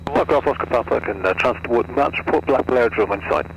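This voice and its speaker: Gravelly guy on aviation radio, talks fast, lots of static. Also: medium pitch. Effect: aircraft radio transmission. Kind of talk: clipped aviation radio talk. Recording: noisy, crackly aircraft radio.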